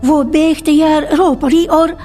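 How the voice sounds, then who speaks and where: A woman narrating a literary story for radio in a measured storytelling manner. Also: high-pitched, steady tone, warm tone. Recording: reverberant archival radio recording with music.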